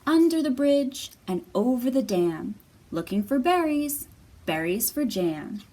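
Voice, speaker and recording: A woman's voice: high-pitched, very animated, measured pace, dark tone. A woman hosting storytime for young children, teaching and reading aloud in simple, repetitive, encouraging phrases, recorded in a library room. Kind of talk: instructional talk and picture-book read-aloud for young children. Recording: distant mic, noisy room.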